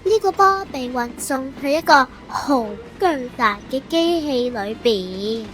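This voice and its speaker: A woman's voice: high voice, lively intonation, slow pace, a bit bright, faint music behind. A woman with a Hong Kong Cantonese accent narrating a manga story expressively, like a storybook reader.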